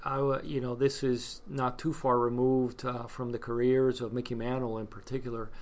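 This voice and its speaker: A middle-aged man from Cuba, talking in a relaxed interview. He hesitates often, with many fillers and restarts. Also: medium pitch, moderate pace. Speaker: guest, scouting volunteer. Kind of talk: casual interview chat.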